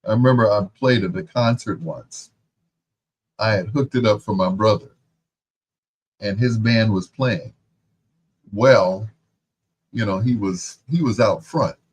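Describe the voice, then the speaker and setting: A man's voice: on the low side, unhurried, pauses often, husky, poor audio. A man hosting a radio music show, chatting casually and telling playful personal anecdotes.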